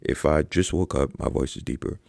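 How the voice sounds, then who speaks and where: A man's voice: extremely low pitch, normal speed, vocal fry, a little mellow, no echo. A young man talking casually to camera as a fitness coach, profane and slangy, with lots of fillers and "right?" tags. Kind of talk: casual ranking commentary to camera.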